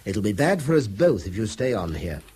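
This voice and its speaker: An actor playing a tense, principled schoolteacher in a radio drama, with a very husky, voice and a formal, uneasy manner. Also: male voice, on the low side, very animated, normal speed, mellow, slight background noise. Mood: uneasy, practical. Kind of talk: naturalistic radio drama acting.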